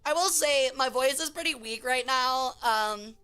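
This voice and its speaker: Casting: hoarse woman streamer, high bright voice, crisp, faint music under her. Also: measured pace. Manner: chatty, reading chat aloud. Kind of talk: casual stream chat.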